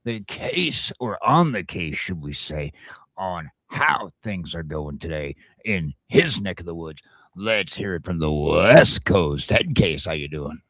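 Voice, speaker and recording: A man with a very low voice hosts a laid-back morning show. He talks in a folksy, jokey way with casual radio-style patter. The sound is dry and close, with no room around it. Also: expressive, takes it slowly, a bit bright, no echo. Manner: folksy, jokey greetings and wordplay. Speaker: talk show host.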